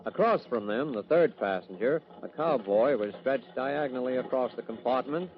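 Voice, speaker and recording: A man's voice: medium pitch, moderate pace. An American man announcing and narrating an old-time radio drama in a dramatic, declamatory, scripted style. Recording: muffled old radio recording with music.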